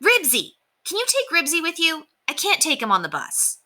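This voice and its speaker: A woman voicing an eager, anxious young schoolboy in a children's storybook, excitable and pleading. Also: high voice, normal speed, pitched above the performer's own voice, exaggerated voice. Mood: eager, urgent.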